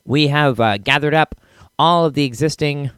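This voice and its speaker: A man's voice: on the high side, moderate pace, fairly bright tone, clear, resonant tone, no echo, studio quality. A man introducing a podcast as its host, in a casual, partly scripted style. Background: music.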